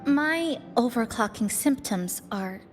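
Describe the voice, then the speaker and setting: A woman's voice: fairly high pitch, lively intonation, takes it slowly, faint music behind. A woman voicing a reserved, guarded young game heroine with a hesitant, understated delivery. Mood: uneasy, resolute. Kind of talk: anime game voice acting.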